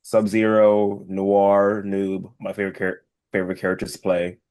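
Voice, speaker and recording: A man's voice: lowish voice, monotone, normal speed, darkish tone. A man co-hosting a podcast, chatting informally and emphatically with some mild swearing. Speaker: podcast co-host. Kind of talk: casual chat.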